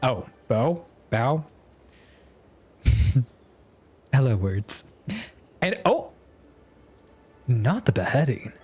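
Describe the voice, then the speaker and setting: Male streamer, wry and casual, unhurried delivery, faint game music underneath. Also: medium pitch, sing-song, slightly rough. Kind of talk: casual livestream commentary and reading game dialogue aloud.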